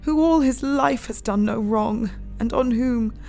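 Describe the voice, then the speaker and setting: A woman's voice: fairly high pitch, creaky, faint music behind. A woman playing a devout, grieving young Victorian wife in an audio drama, imploring tenderly and sorrowfully. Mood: sorrowful pleading. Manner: slow, imploring, hushed outbursts.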